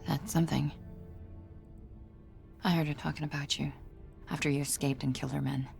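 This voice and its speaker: A woman's voice: low-pitched, fairly even intonation, normal speed, gravelly, darkish tone, soft background music. An actress voicing a tough young woman prisoner in a video game, curt and blunt, swearing freely. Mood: tense.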